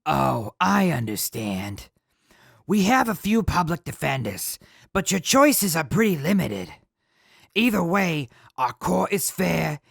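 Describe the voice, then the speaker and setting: Woman playing a creaky-voiced, earnest jail deputy. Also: sits low, no echo, studio quality, full character voice. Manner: polite, measured, official. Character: earnest, by-the-book small-town jail deputy. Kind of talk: audio drama acting.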